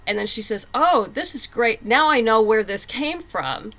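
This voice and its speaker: A woman's voice: on the high side, animated, moderate pace, a bit bright. A woman talking conversationally as a writer being interviewed, telling stories and acting out quotes as she goes. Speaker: comic book writer and guest.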